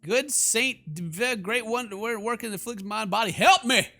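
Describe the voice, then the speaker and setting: Bright, high-voiced man playing games. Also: normal speed. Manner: jokey, theatrical. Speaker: gaming video host. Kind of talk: game commentary and reading aloud. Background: game music.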